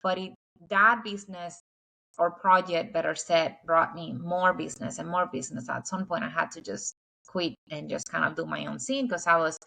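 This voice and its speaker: A woman's voice: lowish voice, fairly even intonation, normal speed, gravelly, bright, forceful tone, low-quality recording. A middle-aged woman from the Dominican Republic whose first language is Spanish, a guest architect answering casually over a video call with lots of fillers. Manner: chatty, frequent fillers.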